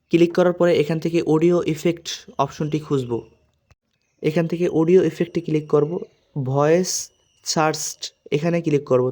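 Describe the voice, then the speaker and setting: Guy doing a step by step app tutorial, slightly high voice. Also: dark tone. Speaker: tutorial host.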